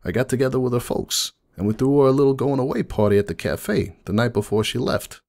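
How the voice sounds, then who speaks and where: A man's voice: mid-range voice, a little fast, vocal fry, darkish tone, close to an everyday voice. A man voicing a friendly, nostalgic unicorn donut shop owner in a dramatic fan fiction reading, chatty and folksy like someone reminiscing. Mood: proud, nostalgic.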